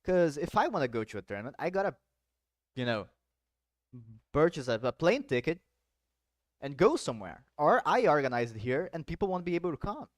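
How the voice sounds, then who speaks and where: A man's voice: on the high side, very animated, a little fast, dry recording. Man chatting loosely, dry sound. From South Brazil. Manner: loose, swearing, explains with many asides. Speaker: podcast host. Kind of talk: casual chat.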